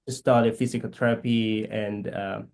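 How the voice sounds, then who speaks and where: A man from Guatemala with a Guatemalan Spanish accent, whose first language is K'iche', speaking haltingly as an interview guest and searching for words. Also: lowish voice, flat delivery, vocal fry, darkish tone. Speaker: guest, physical therapist missionary. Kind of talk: conversational interview.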